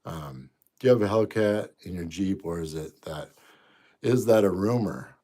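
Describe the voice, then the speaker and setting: A man's voice: low-pitched, lively intonation, normal speed. A man in his fifties, a bit congested, casually chatting on a livestream and answering viewer comments in an easygoing, rambling way. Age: 50s. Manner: easygoing, rambling, trails off quietly. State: congested, stuffy nose. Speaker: livestream host.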